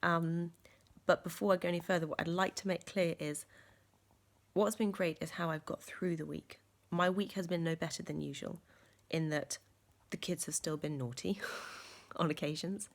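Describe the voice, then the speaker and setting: A woman, croaky from a sore chest, talking earnestly in a reflective vlog with many ums and restarts. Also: on the low side. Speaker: vlogger.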